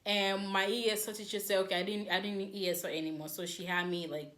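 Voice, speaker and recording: A woman's voice: mid-range voice, fairly even intonation, fast, a bit bright. A young woman from Nigeria co-hosting a podcast, talking in casual chat and stressing her points by repeating them. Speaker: podcast co-host.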